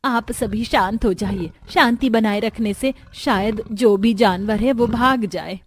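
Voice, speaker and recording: A woman's voice: on the high side, measured pace, noisy background, close to an everyday voice. A woman voicing a protective, fretful mother-in-law of a poor family in a cartoon story. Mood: cautious. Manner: cautious, fretful.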